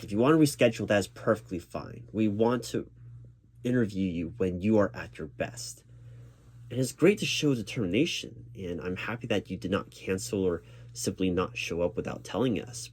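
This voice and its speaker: A man's voice: on the low side, darkish tone. A man performing a one-sided spoken ASMR roleplay as a recruiting manager, reassuring and patient, with formal corporate phrasing.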